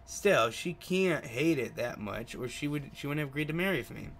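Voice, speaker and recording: A man's voice: on the high side, expressive, normal speed, creaky, fairly bright tone, slight background noise. A man reading aloud a chatty, sheepish male taxi driver from a visual novel, gossipy and rambling, stumbling over some words.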